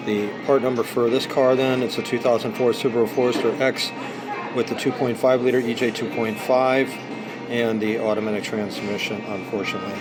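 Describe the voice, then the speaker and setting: Rambling hoarse man in a noisy café. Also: fairly low pitch. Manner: rambling, many ums and uhs, digressive. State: hoarse from singing practice. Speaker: vlogger. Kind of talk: casual unboxing vlog. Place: inside a busy coffee shop. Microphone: camera on small tripod. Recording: noisy coffee shop with music playing. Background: background music and cafe noise.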